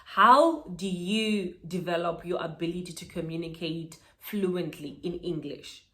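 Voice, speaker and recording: A woman's voice: lowish voice, unhurried, a bit bright. A woman, a Shona speaker, giving motivational advice in an emphatic style and repeating key words for stress. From Zimbabwe. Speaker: author and speaker. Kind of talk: motivational talking-head vlog.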